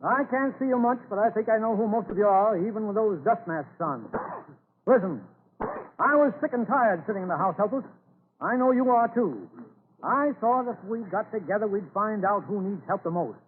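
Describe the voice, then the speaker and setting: Resolute rural family man, high-pitched, plain-spoken and rousing, on narrow-band vintage radio. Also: lively intonation, normal speed. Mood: rousing, earnest. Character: determined farmer rallying his neighbours, earnest family man. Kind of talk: 1940s radio acting. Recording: scratchy old radio broadcast, narrow band, hissy.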